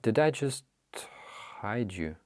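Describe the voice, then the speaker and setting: A man with a Ukrainian accent hosting a casual live chat, answering viewer questions in halting, apologetic English with many restarts. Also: on the low side, sing-song, dry recording, clean studio sound. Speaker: livestream host.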